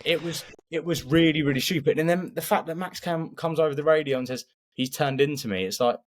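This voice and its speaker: A man's voice: a little high, brisk, slight background noise. A man co-hosting a motorsport podcast, analysing in a casual, opinionated and emphatic way.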